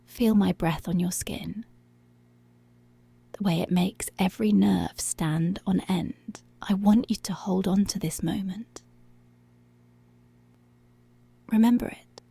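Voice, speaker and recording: A woman playing a commanding, seductive policewoman in an intimate ASMR roleplay, speaking slowly and deliberately in a breathy voice that often drops to a whisper. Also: medium pitch, warm tone, no echo, heavily acted. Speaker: ASMR voice actor.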